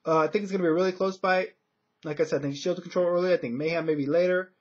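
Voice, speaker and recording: A man's voice: sits high, fairly even intonation, very fast. A man giving casual sports predictions in a hesitant, conversational way, as a commentator would. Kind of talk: casual fight prediction talk. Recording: dull lo-fi audio.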